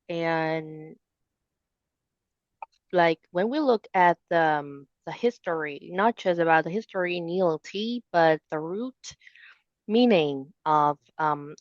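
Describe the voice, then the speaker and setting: Slow-spoken woman on a dull, dry mic. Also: deep voice, dry recording. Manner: thoughtful, halting, frequent ums. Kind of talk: casual discussion.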